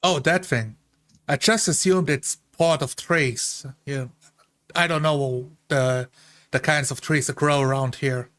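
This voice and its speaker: A man's voice: medium pitch, slow, a bit bright. A man casually narrating his character's part as a tabletop game player.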